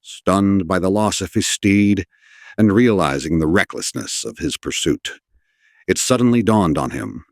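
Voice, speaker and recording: A man's voice: low-pitched, lively intonation, unhurried, no room sound, studio quality. A man narrating a scripted legend as a dramatic storyteller, in a formal, literary and theatrical manner. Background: orchestral score.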